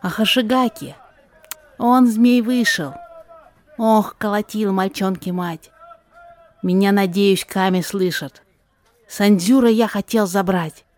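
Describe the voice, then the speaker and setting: A woman's voice: mid-range voice, takes it slowly, long pauses, no echo, natural voice. A woman voicing a frank, gossipy male fruit seller who talks in plaintive exclamations in a rhymed audio drama. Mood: gossipy, regretful.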